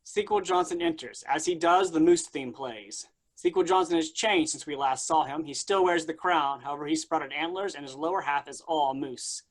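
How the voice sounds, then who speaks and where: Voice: mid-range voice, normal speed. A narrator reading stage directions in a scripted narration style at a staged play reading.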